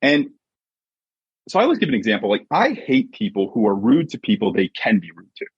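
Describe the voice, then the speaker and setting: A husky-voiced man giving an emphatic, conversational motivational talk as a coach and podcast guest, often checking in with short rhetorical questions. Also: fairly low pitch, sing-song, brisk, dry recording. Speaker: podcast guest, sports mindset coach and author. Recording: dull, muffled lo-fi audio.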